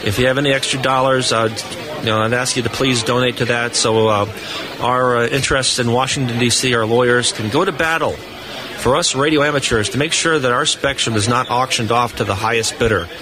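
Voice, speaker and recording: A man's voice: mid-range voice, steady tone, fairly quick. An American man speaking earnestly as a guest in an informal live radio interview, making appeals to listeners. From New England, United States. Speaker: interview guest, test engineer. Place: booth on busy convention floor. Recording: noisy convention hall floor, live broadcast.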